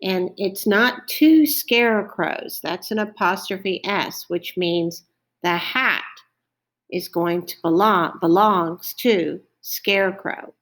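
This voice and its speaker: A woman's voice: mid-range voice, takes it slowly, a bit bright. A woman reading a children's story aloud, careful and explanatory, with teacherly asides.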